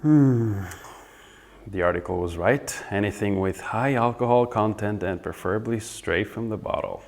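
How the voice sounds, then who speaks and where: A man's voice: on the low side, normal speed, a little mellow. A man acting a pompous, fussy wannabe rock star in a sitcom table read. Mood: musing. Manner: self-important, musing to himself.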